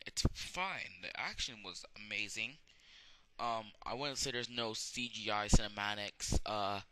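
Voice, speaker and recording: A man's voice: fairly low pitch, bright, forceful tone, smooth, no echo. A young man giving a rambling, casual movie review, full of fillers and restarts, with a sore throat. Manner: halting, many fillers and restarts. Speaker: amateur video reviewer.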